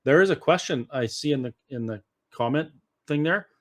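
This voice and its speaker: A man's voice: fairly high pitch, expressive, normal speed. A man speaking as an interview guest, explaining his views casually and earnestly at some length. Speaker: interview guest, political activist.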